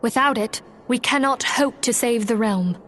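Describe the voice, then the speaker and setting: High, poised actress as serene fantasy leader. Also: female voice, moderate pace, fairly bright tone, slight background noise, natural voice. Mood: grave, resolute. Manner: poised, archaic, solemn phrasing. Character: serene, earnest female leader of a secret order. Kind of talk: video game voice acting.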